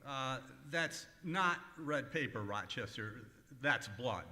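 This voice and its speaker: A man playing a fussy, deadpan comedian boss in an old-time radio comedy on stage. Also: mid-range voice, slow pace, heavily acted. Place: museum performance space, live before an audience. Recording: echoey hall, live stage performance.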